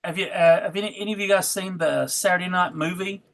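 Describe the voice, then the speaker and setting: A middle-aged man hosting a casual panel chat in a halting, hesitant manner. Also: high-pitched, fairly even intonation, vocal fry, a bit bright. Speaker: panel host.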